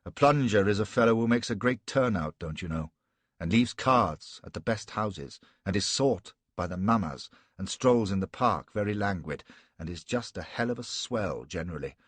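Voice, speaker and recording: A man's voice: fairly low pitch, animated, moderate pace, no room sound. A man voicing a weary veteran army captain with a Welsh-sounding lilt, dry and faintly mocking in an audiobook. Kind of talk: audiobook character voice.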